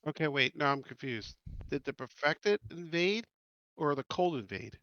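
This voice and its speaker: A man's voice: a little high, very animated, moderate pace, fairly bright tone, no echo. A man playing a tabletop game, casually asking questions to get the story straight. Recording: slightly dull, dry mic.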